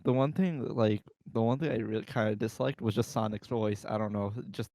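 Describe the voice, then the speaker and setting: A man's voice: fairly low pitch, brisk, mellow, dry recording. A man co-hosting a podcast, giving blunt opinions in casual, profanity-sprinkled chat. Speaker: podcast co-host. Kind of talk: casual movie discussion chat.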